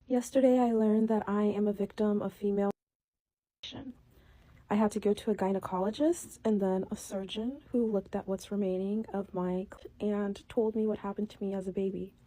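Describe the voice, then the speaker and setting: A woman in her twenties speaking to camera in a steady, matter-of-fact way. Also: medium pitch, moderate pace, dry recording. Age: 20s. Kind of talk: direct-to-camera vlog.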